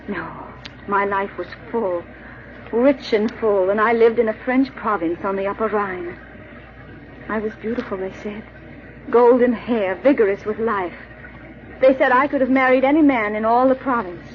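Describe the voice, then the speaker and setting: A woman playing a haunted, genteel young French beauty in a 1940s radio drama, with refined, earnest phrasing and dramatic pauses. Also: mid-range voice, close to an everyday voice. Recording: muffled, hissy old radio recording.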